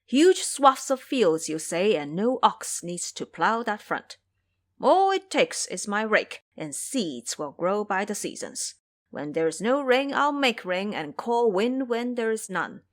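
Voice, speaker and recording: A woman's voice: medium pitch, big pitch swings, unhurried. A woman voicing a boastful, lazy, lustful male pig monster in an audio drama, pleading and bragging as he talks.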